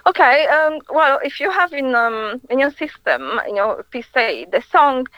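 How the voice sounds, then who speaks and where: A woman from Italy with an Italian accent, a singer-songwriter guest answering radio interview questions in a halting way, full of hesitations and restarts. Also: high-pitched, big pitch swings, no echo, lo-fi sound.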